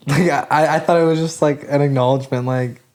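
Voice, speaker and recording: A man's voice: a little high, steady tone, normal speed, darkish tone. A young man co-hosting a podcast in casual chat, laid-back and rambling, full of "like" fillers. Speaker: podcast co-host.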